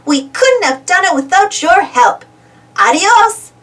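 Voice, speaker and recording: Little girl adventurer, played by a woman: eager, exclamatory, storybook read-aloud, very animated, slow clear questions to the listener. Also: extremely high pitch, far from the mic, exaggerated voice. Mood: happy.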